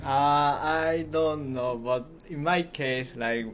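A man's voice: fairly high pitch, takes it slowly, a bit bright. A young man, a student, chatting in an interview, hesitant with many fillers. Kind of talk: casual interview chat.

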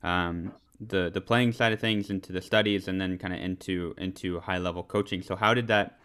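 Deep, flat-voiced podcast host. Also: male voice, normal speed, clean tone. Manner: relaxed, hedging with "kinda" and "you know", repeats words. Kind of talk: casual podcast chat.

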